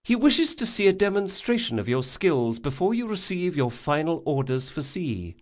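A man voicing a composed woman envoy in an audiobook, coolly amused, formal and measured. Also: fairly high pitch, big pitch swings, voice pushed up. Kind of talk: audiobook character acting.

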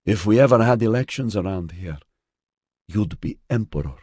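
A man voicing a gruff, cheery, weathered woman in her sixties with a northern Mediterranean accent in an audiobook. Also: fairly low pitch, lively intonation, moderate pace, a little mellow, dry recording. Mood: hearty, welcoming.

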